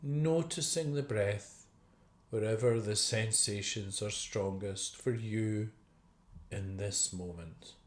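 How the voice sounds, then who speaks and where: Guy doing a guided meditation, really slow and gentle, lots of pauses, echoey room. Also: on the low side. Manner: gentle, unhurried, with long pauses. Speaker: meditation teacher. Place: indoor room. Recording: roomy, echoey video call recording.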